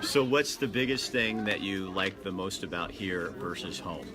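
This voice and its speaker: Upbeat low-voiced male reporter. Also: normal speed, background noise. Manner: friendly, joking, enthusiastic questions. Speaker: TV host, roving reporter. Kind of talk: upbeat on-location interview. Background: crowd chatter.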